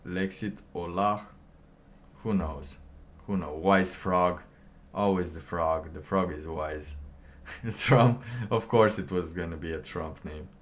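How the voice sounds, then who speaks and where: A man giving casual livestream commentary and reading documents aloud, halting and rambling, often pausing mid-sentence. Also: sits low. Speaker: livestream host.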